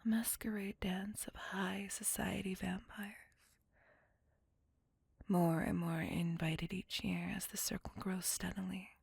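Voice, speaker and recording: Woman playing a lovesick vampire lady, very breathy whisper, creaky edges, courtly, possessive, close ASMR roleplay. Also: medium pitch, level tone, a little slow, darkish tone, no echo, full character voice. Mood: courtly, coaxing. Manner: formal, old-fashioned, courtly wording. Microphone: close microphone.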